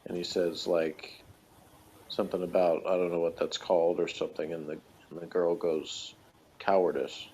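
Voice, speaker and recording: A man chatting casually as a podcast reviewer, in a rambling, halting way that often trails off. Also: low voice, level tone, mellow, a little hiss or hum. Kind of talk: casual chat. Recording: dull, lo-fi voice recording.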